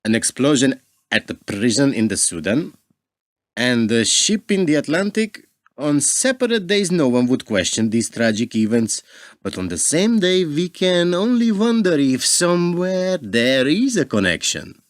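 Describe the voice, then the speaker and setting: Man playing a formal, suspicious ambassador, animated pitch. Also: dry recording, clean studio sound. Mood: suspicious, pointed. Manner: formal, measured, pointed. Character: suave, suspicious Russian ambassador, formal and pointed. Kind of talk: radio play acting.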